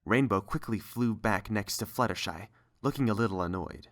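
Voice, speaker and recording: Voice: deep voice, normal speed, fairly bright tone. A narrator reading scripted story prose.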